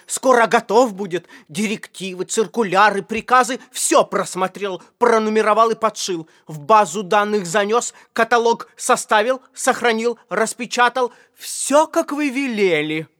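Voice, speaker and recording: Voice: medium pitch, measured pace, a bit bright, dry recording, clean studio sound, lightly acted. An actor playing a servile, flustered office-clerk father who repeats himself obsequiously, in a children's audio play. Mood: eager, servile.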